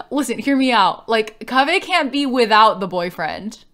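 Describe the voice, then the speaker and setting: A woman's voice: fairly high pitch, lively intonation, unhurried, a bit bright. A young woman chatting casually and expressively as a streamer reacting to a game trailer, with a playful way of talking. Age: young adult. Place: indoor room, livestream webcam.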